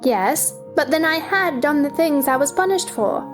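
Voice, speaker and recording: A woman's voice: high voice, lively intonation, moderate pace, over music. A woman playing a polite, puzzled, sensible young girl in a theatrical children's storybook drama, earnest and questioning.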